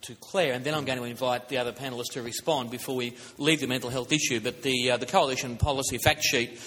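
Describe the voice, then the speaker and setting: Brisk Australian man moderating in a hall. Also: mid-range voice, steady tone, a bit bright. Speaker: forum moderator. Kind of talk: moderating a panel discussion. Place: large indoor hall, public forum. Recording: echoey hall with background noise.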